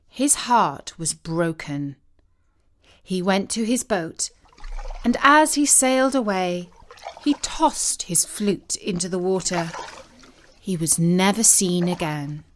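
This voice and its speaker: Slow, expressive woman storyteller. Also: medium pitch. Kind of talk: scripted dramatic story narration. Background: music.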